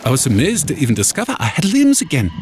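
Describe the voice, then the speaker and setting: A man's voice: fairly high pitch, huge pitch swings, quick pace. A man narrating a first-person horror story in a dramatic, scripted storytelling style. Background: music.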